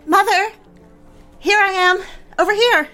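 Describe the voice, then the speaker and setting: A woman's voice: very high voice, measured pace, bright, forceful tone, soft background music, exaggerated voice. A woman playing a needy, defiant, newly married daughter in an audio drama, theatrical and pleading. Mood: eager, bright. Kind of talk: dramatic audio fiction with first-person narration.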